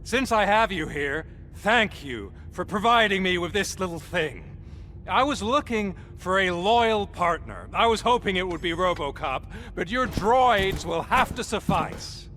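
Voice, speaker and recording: A smug crime boss for a game cutscene. He takes his time and savors each word, sliding dramatically up and down in pitch with mocking courtesy. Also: sing-song, a little slow, sharp tone, slight background noise, heavily acted. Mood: gloating, commanding. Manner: mocking, mock-polite, savoring his words. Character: smug, theatrical male crime boss seizing a city. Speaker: villain. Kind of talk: video game cutscene acting.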